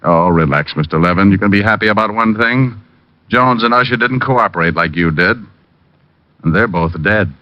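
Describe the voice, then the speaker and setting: Actor as a low-voiced, sardonic radio detective. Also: male voice, moderate pace, dry recording, lightly acted. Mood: sardonic, cool. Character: cool, menacing, sardonic male detective. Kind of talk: 1950s radio detective drama acting. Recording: dull old radio recording.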